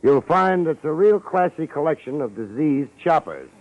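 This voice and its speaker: Wisecracking American radio private eye. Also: male voice, fairly high pitch, big pitch swings, normal speed. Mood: sardonic, confident. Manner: wry, slangy, confident. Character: wisecracking, self-assured tough male private detective. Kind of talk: 1950s American radio detective acting. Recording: dull vintage radio recording.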